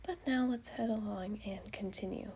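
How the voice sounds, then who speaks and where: A woman getting over a cold, reading fan fiction aloud in a hushed, breathy voice. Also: medium pitch, normal speed. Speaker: podfic reader.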